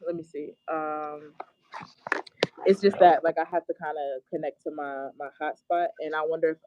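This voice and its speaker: A woman's voice: low-pitched, steady tone, moderate pace, dry recording. Casual female actress in rehearsal, dull lo-fi phone sound, dry, hesitant and informal. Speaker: actress rehearsing an audio play. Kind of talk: casual chat.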